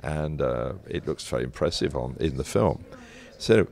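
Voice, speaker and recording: A man's voice: extremely low pitch, lively intonation, normal speed, vocal fry, darkish tone. An older British man talking conversationally as an interview guest, telling anecdotes in a discursive, self-correcting way. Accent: British English. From Britain.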